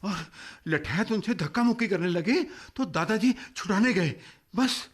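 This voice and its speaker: A man's voice: high-pitched, expressive, unhurried, breathy edge, exaggerated voice. An actor playing a frightened village boy in a Hindi radio drama, urgent and stammering. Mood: panicked. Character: frightened village boy, breathlessly bringing bad news.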